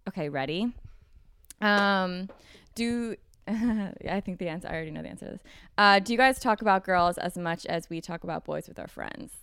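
A woman's voice: on the low side, moderate pace, a bit bright, clear, resonant tone, no echo, clean studio sound. A woman hosting a casual podcast with friends, chatty and bubbly, using lots of fillers. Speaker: podcast host. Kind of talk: casual chat and read ad. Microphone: shared podcast mic.

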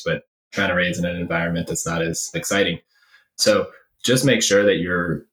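Deep-voiced man in an echoey room. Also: bright, forceful tone. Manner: emphatic and opinionated, repeats phrases in lists. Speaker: startup CEO and former finance leader, interview guest. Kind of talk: conversational interview answers. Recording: roomy, echoey sound.

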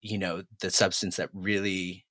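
A man's voice: deep voice, little pitch movement, normal speed, a bit bright, no room sound, studio quality. A man in his forties from Massachusetts tells his life story in a candid, reflective way, with frequent fillers. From Massachusetts, New England. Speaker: guest telling his story. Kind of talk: recorded personal story.

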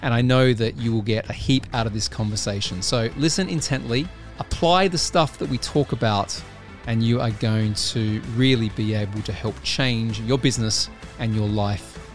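A man's voice: mid-range voice, normal speed, faint music behind. A man from England hosting a podcast interview, chatty and enthusiastic, often restarting his phrases. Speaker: podcast host.

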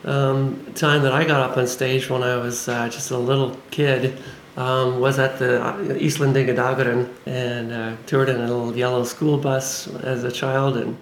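A man's voice: medium pitch, little pitch movement, normal speed, roomy sound, a little hiss or hum, poor audio. A man in his forties from Canada, a singer-songwriter guest, talking casually and hesitantly with many fillers. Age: 40s. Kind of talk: casual interview.

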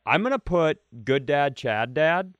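A man's voice: fairly high pitch, lively intonation, a little slow, fairly bright tone, clean tone, no echo, dull audio. A man co-hosting a comedy podcast, riffing and telling stories in a casual, playful way. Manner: riffing, storytelling, playful bits. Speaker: podcast co-host.

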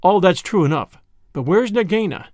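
A man voicing a brave, fierce young male mongoose in a storybook reading, brisk and determined. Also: sits high, lively intonation, a little mellow, dry recording, studio quality. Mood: impatient.

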